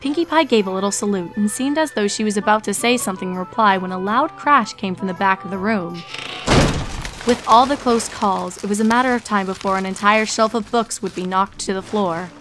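A woman's voice: mid-range voice, fairly quick, over music. A woman narrator reading a scripted story expressively.

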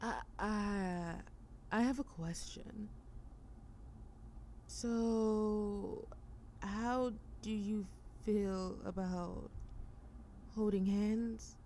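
A woman's voice: mid-range voice, fairly even intonation, extremely slow, pauses often, mellow. A woman playing a shy, affectionate young girlfriend who overthinks everything, hesitant and stammering in an intimate roleplay audio drama. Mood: nervous, tentative. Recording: faint ambient noise behind voice.

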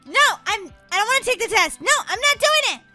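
A very high, bright, melodramatic schoolgirl played by a woman in a game roleplay. She is childish and whiny, swooping up and down in pitch over light music. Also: animated, moderate pace. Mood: whiny protest. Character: dramatic, whiny young schoolgirl in a family roleplay.

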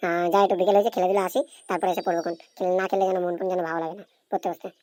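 A woman's voice: lowish voice, flat delivery, very fast, mellow, lightly acted. A woman voicing a carefree young schoolboy in an amateur cartoon, with a casual, repetitive way of talking. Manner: casual, repetitive, brushing off advice. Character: carefree procrastinating young schoolboy, little brother.

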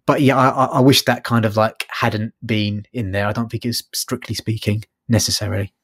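A man co-hosts a casual book discussion in a fairly low voice. He is tentative and hedges his points, and the sound is dry with no room echo. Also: fairly even intonation, moderate pace, a bit bright, husky. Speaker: podcast co-host.

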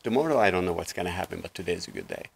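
A man in his sixties from Lebanon speaks in a very low voice with a gravelly edge. He tells his story earnestly and loosely, leaning on fillers, as a guest on a small TV studio set. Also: wildly swooping pitch, very fast. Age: 60s. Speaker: guest life coach. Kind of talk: casual interview talk.

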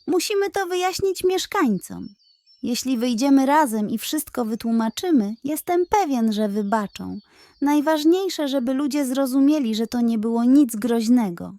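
Kindly piglet detective played by a woman for a children's storybook: high, animated, reassuring and earnest. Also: moderate pace, dry recording. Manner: animated, explaining, morally instructive. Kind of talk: children's bedtime story character.

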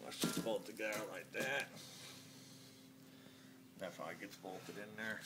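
A man's voice: lowish voice, a little slow, very noisy. A man talking casually and plainly, with lots of fillers, while he walks through assembling a cargo carrier. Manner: plain-spoken, rambling, many fillers. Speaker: product reviewer. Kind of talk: casual product review vlog. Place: outdoors by a van on pavement.

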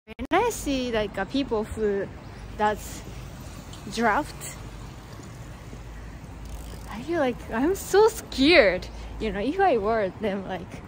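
Young woman, Japanese accent, high voice, unhurried speech, street noise around her. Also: sing-song. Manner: chatty, reads viewer comments, hums song snippets. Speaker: vlogger. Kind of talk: casual live-stream chat. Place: suburban street outdoors, walking. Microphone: phone or action camera at arm's length. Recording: noisy outdoor street sound.

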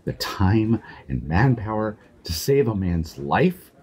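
An actor playing an indignant, determined man in an audio drama, husky, confrontational and pointed. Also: on the low side, sing-song, takes it slowly, darkish tone, heavily acted.

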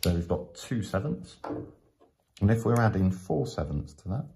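A man teaching in a step-by-step tutorial, explaining patiently with frequent pauses. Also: deep voice, lively intonation, mellow. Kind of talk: step-by-step maths tutorial narration.

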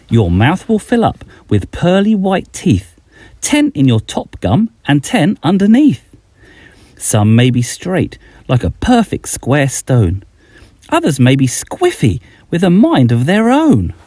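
A man's voice: fairly high pitch, warm tone, no room sound. A middle-aged man reading a rhyming bedtime story aloud, expressively, as a children's author addressing young listeners.

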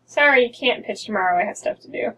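Husky young American woman, slightly off-mic. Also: on the high side, animated, fast, a bit bright. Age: young adult. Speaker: co-host reading stories aloud. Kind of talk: casual banter and reading aloud. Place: small dim room indoors. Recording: somewhat distant from mic.

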